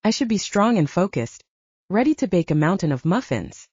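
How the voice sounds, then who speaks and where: A woman's voice: fairly low pitch, very animated, moderate pace, a little mellow, slightly rough, dry recording, dull audio. A woman voicing an anxious, curious young heroine with an earnest, questioning manner in an audiobook. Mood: troubled.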